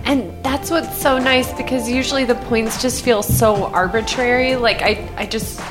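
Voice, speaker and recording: A woman's voice: fairly high pitch, fairly even intonation, normal speed, over music, natural voice. A woman playing an eager, naive rule-follower as a podcast guest, rambling earnestly in improvised comedy. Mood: puzzled, earnest.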